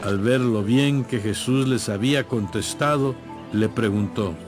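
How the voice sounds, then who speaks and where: A man narrates a scripture drama from a script. His voice is average in pitch, and music plays clearly beneath it. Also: unhurried. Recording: music playing behind.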